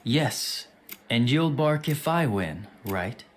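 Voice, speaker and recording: A man's voice: medium pitch, animated, slow pace. A man playing a brilliant, arrogant teenage schoolboy in an anime audio drama, clipped and self-assured. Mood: confident.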